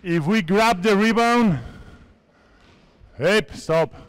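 A man's voice: high-pitched, slow, clear, resonant tone. A man from Spain in his fifties, a native Spanish speaker coaching players on court in a large indoor sports hall, giving short instructive commands. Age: 50s. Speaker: basketball coach. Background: basketballs bouncing.

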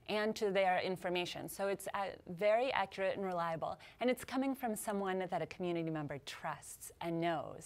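Upbeat woman in her thirties, policy guest explaining clearly on a studio lapel mic. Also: lowish voice. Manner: upbeat, explanatory, quick to agree. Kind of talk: studio interview. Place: TV news studio.

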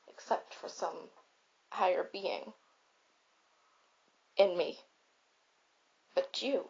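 Girl doing a caring but blunt robot for a comfort roleplay, breathy rough voice, grand repetitive speeches, kinda muffled homemade audio. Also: fairly low pitch, a little mellow, heavily acted. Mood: earnest, reassuring. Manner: deliberate, declamatory, repetitive. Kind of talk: comfort roleplay monologue with storytelling. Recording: slightly dull, raw home recording.

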